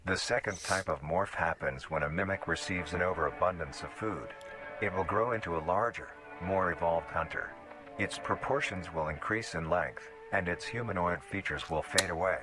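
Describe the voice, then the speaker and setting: A man reading scripted narration for an analog horror documentary in a formal, measured, clinical manner. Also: sits low. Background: background music.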